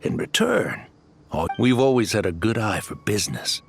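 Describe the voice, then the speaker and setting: An actor playing a brash, greedy desert mercenary leader in a video game, talking in a slick, pushy way. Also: male voice, fairly low pitch, big pitch swings, normal speed, vocal fry, a little hiss or hum. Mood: slick, persuasive. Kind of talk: scripted video game acting. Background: music.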